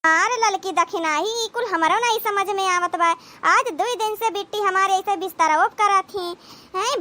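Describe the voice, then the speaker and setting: A woman's voice: extremely high pitch, sharp tone. A woman voicing a scolding, dramatic village sister-in-law in a cartoon comedy, lamenting and exclaiming in an Awadhi accent.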